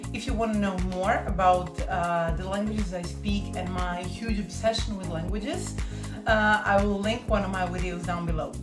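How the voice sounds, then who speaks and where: Croatian woman teaching, echoey room. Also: medium pitch. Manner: slow, careful repetition of phrases. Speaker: language teacher. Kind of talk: casual online language lesson.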